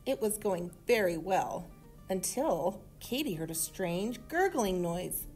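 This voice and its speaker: Woman storybook reader: expressive, animated, medium pitch, for children's read-aloud. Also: takes it slowly, soft background music, poor audio.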